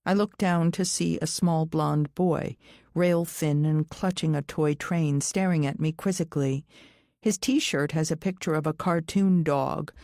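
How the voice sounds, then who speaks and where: A woman's voice: deep voice, steady tone, unhurried, darkish tone, no room sound, clean studio sound. A woman reading scripted first-person thriller narration as an audiobook narrator.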